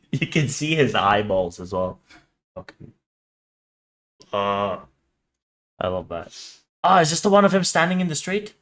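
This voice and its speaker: A man's voice: mid-range voice, very animated, takes it slowly, pauses often, a bit bright. A man doing a casual livestream reaction, reading captions aloud and commenting in a joking, irreverent, sweary way. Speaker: streamer reacting to horror videos.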